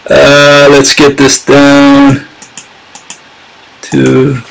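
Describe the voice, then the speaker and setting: A man's voice: mid-range voice, monotone, drawn-out words, creaky, poor audio. A man hosting a casual live-streamed talk, sarcastic and chatting with his viewers as he goes. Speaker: channel host giving a critique.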